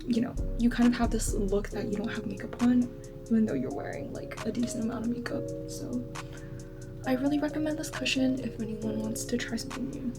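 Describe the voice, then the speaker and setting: A woman's voice: on the high side, fairly even intonation, fairly quick. A woman in her twenties who grew up in China speaking Chinese first, chatting casually through a makeup routine with lots of likes and ums. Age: 20s. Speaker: beauty vlogger. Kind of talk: casual makeup vlog chat. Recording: background music under voice.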